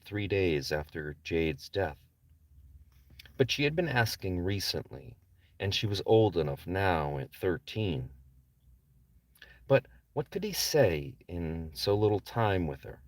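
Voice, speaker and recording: A man's voice: low-pitched, no room sound. A man reading his own novel aloud as a scripted audiobook narration. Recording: distant microphone.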